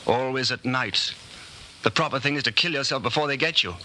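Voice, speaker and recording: An actor playing a brooding, guarded man in a dystopian radio drama, speaking tersely and haltingly with intensity in a 1940s style. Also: mid-range voice, natural voice. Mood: fearful, grim. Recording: noisy 1940s radio recording.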